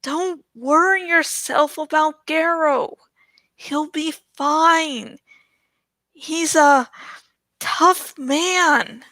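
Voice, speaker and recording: Woman acting a slow-speaking, motherly village healer. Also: high voice, sharp tone, no echo, pitched above the performer's own voice, heavily acted. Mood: scolding, reassuring. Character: rotund older village healer woman, brisk, kindly and scolding. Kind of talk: audiobook storybook reading.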